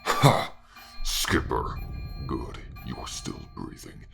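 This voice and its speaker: A man voicing a ruthless, domineering space pirate captain in an audio roleplay, with a gravelly voice and a commanding, gloating manner. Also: wildly swooping pitch, extremely slow, pushed and bright, soft background music, heavily acted. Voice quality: very deep, gravelly. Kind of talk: audio roleplay villain acting.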